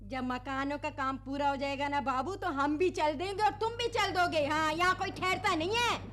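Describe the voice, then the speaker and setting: A woman's voice: sits high, normal speed, a bit bright, slight background noise. An actress playing a feisty, brazen labourer woman, talking back sassily in a radio drama. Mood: mocking, matter-of-fact. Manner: sassy, back-talking, colloquial.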